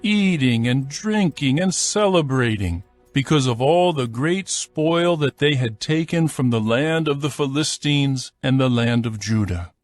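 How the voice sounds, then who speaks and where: A man narrating scripture from a script in a solemn, measured storytelling manner. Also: mid-range voice, no echo, studio quality.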